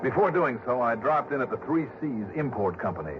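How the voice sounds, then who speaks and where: A man's voice: medium pitch, big pitch swings, a little fast, natural voice. An actor playing a wry, hard-boiled investigator who narrates his case in a clipped 1960s radio drama style. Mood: wry, matter-of-fact. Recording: dull old radio recording with music behind.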